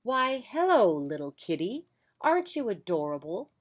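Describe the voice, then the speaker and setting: A woman's voice: medium pitch, big pitch swings, normal speed. A woman voicing a polite, cheerful mother welcoming visitors. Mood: gracious.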